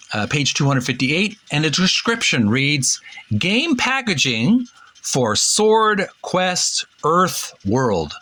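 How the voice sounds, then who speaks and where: A man hosting a casual video show, describing things aloud in a deliberate, halting way and addressing viewers directly in a folksy manner. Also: a little high, fairly bright tone. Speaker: host of a retro video game book show.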